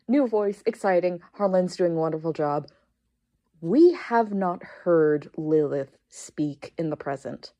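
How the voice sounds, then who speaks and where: A woman listener delivering a prepared fan commentary with deliberate, theatrical phrasing. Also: deep voice, darkish tone. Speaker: listener sending a voice submission.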